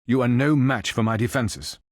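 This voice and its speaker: A male actor voicing a calm, stately android superhero in a video game, speaking in formal, measured, precise phrases. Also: medium pitch, no echo, studio quality, close to an everyday voice. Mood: stern, defiant. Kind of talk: scripted game voice lines.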